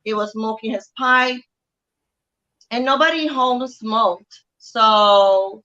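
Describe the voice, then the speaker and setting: A middle-aged woman with a Puerto Rican accent shares personal memories as a guest. Her voice is fairly high and bright, and she takes her time. The sound is a slightly muffled video call. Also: slow pace. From Puerto Rico. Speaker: interview guest. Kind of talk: casual personal recollection. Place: outdoor family courtyard. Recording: dull lo-fi video call audio.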